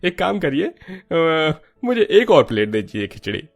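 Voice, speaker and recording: A man playing an expressive, fussy food stall customer in a cartoon story. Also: on the high side, a little slow. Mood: delighted surprise.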